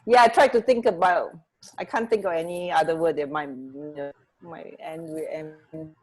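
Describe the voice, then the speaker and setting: A woman's voice: low-pitched, normal speed, rough recording. A woman co-hosting a radio show in casual, hesitant, giggly chat. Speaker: radio co-host.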